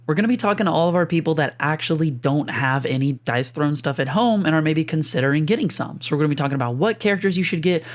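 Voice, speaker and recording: A young man with a slightly hoarse, rough voice from a sore throat, casually walking viewers through board game choices in a chatty, explanatory way. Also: on the high side. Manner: chatty, explanatory, uses lots of fillers. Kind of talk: casual screen-share walkthrough.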